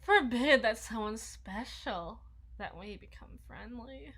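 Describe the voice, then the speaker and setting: A woman's voice: on the high side, slow, a bit bright, full character voice. A woman playing a flustered, needy, socially awkward young vampire in an intimate ASMR roleplay, stammering and slipping into whispers. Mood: awed, teasing. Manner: stammering, breathy asides, dropping into whispers.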